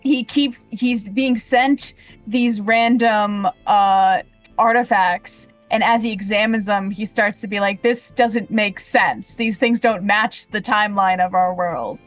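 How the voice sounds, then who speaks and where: A woman's voice: medium pitch, slow pace, faint music behind. A woman talking casually as a panelist in a podcast group chat.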